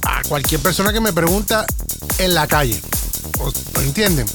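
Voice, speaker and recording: A man's voice: fairly high pitch, animated, normal speed, over music. A man with a Puerto Rican accent hosting live radio talk, rambling in a sing-song way with many restarts. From Puerto Rico. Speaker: radio show host.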